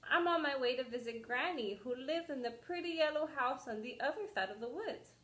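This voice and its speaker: Woman voicing a high, sweet little storybook girl. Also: a little fast, fairly bright tone, reverberant. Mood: polite, innocent. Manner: polite, wide-eyed. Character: sweet, timid, innocent young girl. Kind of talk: storybook read-aloud character voice.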